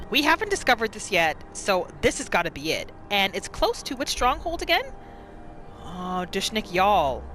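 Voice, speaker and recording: A woman giving casual, chatty roleplay commentary as the host of a gaming channel, narrating in an expressive way. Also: mid-range voice. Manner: expressive, chatty, narrating characters' thoughts in third person.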